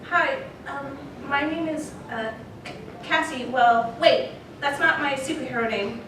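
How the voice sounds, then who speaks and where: A woman's voice: high voice, a bit bright, slight background noise. A woman playing a shy, hesitant eight-year-old girl in a live comedy read. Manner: halting, timid, childlike. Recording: very echoey hall, distant mic.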